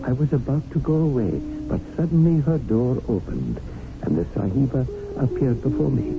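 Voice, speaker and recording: Wry, deferential servant-narrator, tinny radio. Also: male voice, medium pitch, expressive, creaky, over music. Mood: measured storytelling. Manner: formal, flowery, measured phrasing. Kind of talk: 1970s radio drama narration and acting. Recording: noisy narrow-band old radio broadcast.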